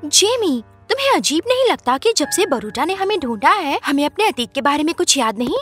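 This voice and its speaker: A woman voicing a haughty, exclamatory young princess who thinks she rules, in an animated fairy tale. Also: sits high, a little fast, soft background music. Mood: puzzled. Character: imperious young girl who believes herself a queen.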